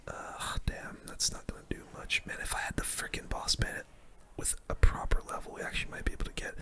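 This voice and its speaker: A gamer whispering softly and breathily into a close microphone, giving ASMR-style running commentary on play while musing aloud. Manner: soft whispering, mild swearing, musing aloud. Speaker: gaming video creator.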